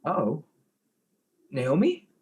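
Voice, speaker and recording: A man's voice: a little high, huge pitch swings, slow pace, darkish tone, dull audio, full character voice. A man playing a timid, eager detective's helper in his thirties, hesitant, in a comic audio drama. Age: 30s.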